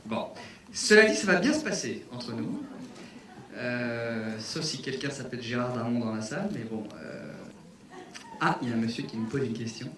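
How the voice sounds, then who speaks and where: A man's voice: medium pitch, normal speed, noisy background. A man presenting a film premiere on stage, joking playfully with the audience. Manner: playful, lively, feigning surprise. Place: cinema theater in front of an audience. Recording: echoey cinema hall, distant mic, crowd.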